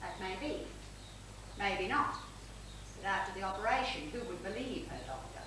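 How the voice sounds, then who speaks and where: A woman's voice: medium pitch, big pitch swings, close to an everyday voice. Woman acting imperious wealthy widow in echoing theatre. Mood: insistent, hushed. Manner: domineering, theatrical, deliberate. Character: imperious wealthy older widow, commanding and ruthless mother. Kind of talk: stage play acting. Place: small theatre stage. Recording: very echoey theatre, distant mic, noisy.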